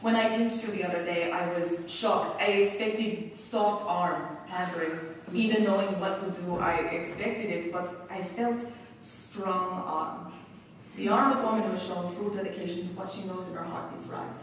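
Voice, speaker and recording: A woman's voice: fairly low pitch, fairly even intonation, moderate pace, recorded from a distance, a little hiss or hum, close to an everyday voice. A woman playing an earnest, tough resistance fighter with formal, clipped grammar in a staged radio play, recorded in an echoey hall. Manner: formal phrasing with dropped articles.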